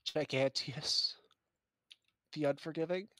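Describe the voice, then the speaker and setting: A man's voice: fairly high pitch, slow pace, sharp tone, dry recording. Muttering, swearing man in a dry room. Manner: muttering to himself, swearing, sudden outbursts. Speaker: game player. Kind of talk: casual gaming chat.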